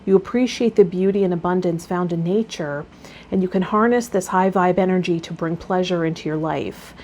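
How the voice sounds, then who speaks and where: A woman hosting a tarot vlog, talking in a gentle, encouraging way as she reads and explains. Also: on the low side, moderate pace, a little hiss or hum. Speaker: tarot reader and channel host. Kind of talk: reading aloud and casual spiritual vlog talk.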